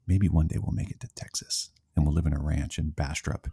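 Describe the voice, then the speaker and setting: A man with a low voice hosting a podcast in a relaxed, conversational way. He rambles through long asides and points out his own rambling. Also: brisk, vocal fry, slightly rough. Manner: rambling, talkative, self-aware. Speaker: podcast host. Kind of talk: casual interview conversation.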